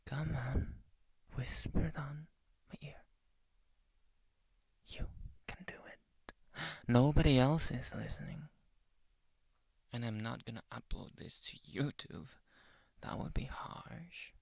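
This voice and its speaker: A man performs a possessive young yandere boyfriend in an intimate ASMR roleplay. His delivery is slow and brooding, with a crackly fry under the scolding and frequent breathy whispers. The sound is very dry and close, right at the microphone. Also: medium pitch, a little mellow, dry recording, full character voice. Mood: coaxing, teasing.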